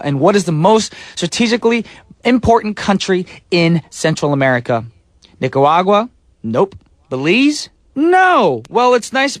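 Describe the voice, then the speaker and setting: Brief: upbeat male radio DJ, slightly high voice, dry close studio mic. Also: fairly bright tone, dry recording. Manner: playful, breaks into sung snippets and silly voices. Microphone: studio microphone.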